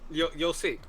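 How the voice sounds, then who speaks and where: A man's voice: a little high, a bit bright. A man giving easygoing, casual commentary on a speedrun, explaining the tricks and laughing often.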